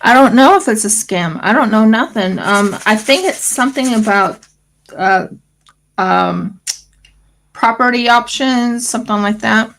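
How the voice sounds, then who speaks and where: Australian woman, craft-stream host, gravelly scratchy voice, loose rambling chat. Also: fairly high pitch, a little slow, fairly bright tone. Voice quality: scratchy morning voice. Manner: rambling, fillers, restarts. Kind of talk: casual livestream chat.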